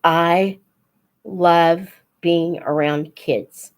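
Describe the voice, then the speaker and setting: An older woman chatting casually as a live host for young viewers, in an unhurried, reflective, folksy manner. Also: lowish voice, fairly even intonation. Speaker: host of a children's book read-aloud channel.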